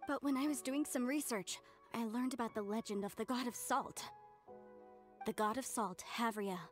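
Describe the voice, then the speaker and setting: A woman's voice: on the high side, normal speed, faint music behind, lightly acted. A woman playing an earnest, polite young history scholar in an anime game, speaking sincerely. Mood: earnest, troubled.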